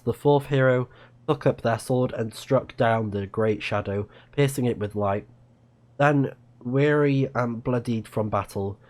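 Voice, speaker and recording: A man's voice: lowish voice, darkish tone. A man doing casual game commentary, musing to himself and reading game text aloud. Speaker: let's play streamer.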